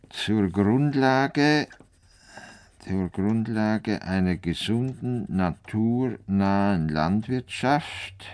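A man's voice: deep voice, full character voice. A man playing a stubborn, eccentric older Swiss farmer and would-be world reformer in a radio play, with a Swiss German accent and a grumbling, self-important manner. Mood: solemn dictating. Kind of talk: radio play acting, muttered monologue and dictation.

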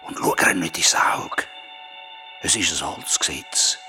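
An actor playing an old grandfather in a horror radio play, with a hushed, grave voice and a Swiss German accent. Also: low-pitched, animated, a little slow, faint music behind, exaggerated voice.

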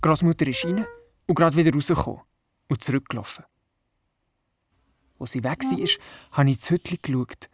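A man's voice: fairly high pitch, moderate pace, pauses often, husky, no echo. An actor playing a curious, eager young grandson who tells his story earnestly in a children's radio play, with a Swiss German accent. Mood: eager, narrating. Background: music.

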